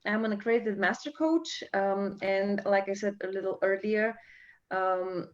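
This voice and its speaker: A woman hosts a live webinar from her computer, her speech full of ums and uhs as she gathers her thoughts. The call audio sounds dull and lo-fi. Her pitch sits in an ordinary middle range. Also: a little slow. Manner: hesitant, many fillers. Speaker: webinar host and coach trainer. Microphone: computer mic on video call.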